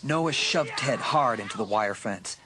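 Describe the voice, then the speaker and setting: A man with a husky voice giving suspenseful, scripted narration of a dramatised audiobook. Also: medium pitch, lively intonation, measured pace, background noise. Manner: suspenseful storytelling.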